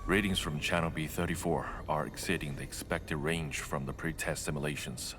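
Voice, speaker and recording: Deep-voiced, fed-up technician. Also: male voice, fairly even intonation, normal speed, noisy background. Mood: businesslike. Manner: exasperated, technical. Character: fed-up, sarcastic male test technician. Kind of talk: video game voice acting.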